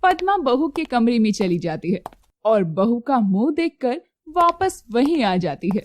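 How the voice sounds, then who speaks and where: A woman narrating a scripted moral story as an expressive storyteller. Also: a little high, unhurried.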